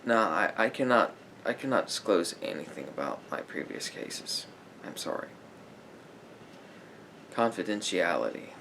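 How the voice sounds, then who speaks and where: A man playing a patient, probing therapist in a soft-spoken ASMR roleplay, with halting, deliberate phrasing and a gravelly voice that drops toward breathy near-whispers. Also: deep voice, expressive, slight background noise, heavily acted. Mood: calm, counselling. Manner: halting, deliberate phrasing with pauses and reflective murmurs. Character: patient, probing male therapist gently counselling a client.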